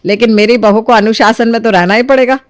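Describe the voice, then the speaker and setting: A woman's voice: a little high, fairly even intonation, a little fast, close to an everyday voice. A woman voicing a strict, exacting middle-aged mother-in-law who questions sharply in a cartoon story. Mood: stern. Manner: interrogating, strict. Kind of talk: Hindi cartoon moral story dialogue.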